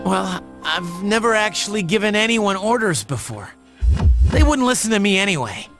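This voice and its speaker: A man playing a brash, emotional teenage boy in a video game, talking in a slangy, expressive, defensive way. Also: sits high, moderate pace, over music. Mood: wounded, defensive.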